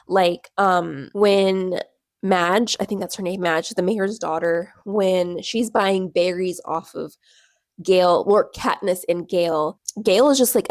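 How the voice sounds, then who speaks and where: A young woman talks in a loose, rambling way, full of "like" and trailing restarts, her voice a little stuffy from a cold. She sits close to a small personal mic in a dry-sounding room, her pitch in an ordinary middle range. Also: a little mellow, no echo. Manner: rambling, filler-heavy, lots of "like". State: stuffy nose from a cold and allergies. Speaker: book vlogger. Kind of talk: casual chatty reading vlog. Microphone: clip-on or small personal microphone.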